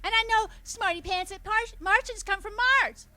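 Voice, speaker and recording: A woman voicing a bratty, excitable, know-it-all young child in a radio drama. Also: very high voice, normal speed. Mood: bratty bickering. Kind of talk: old-time radio drama acting.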